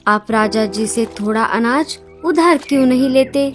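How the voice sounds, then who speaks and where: A woman voicing a poor, gentle mother bird in a children's cartoon, earnest and careworn. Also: fairly high pitch, a little slow, soft background music, lightly acted. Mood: worried. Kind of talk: animated children's story dialogue.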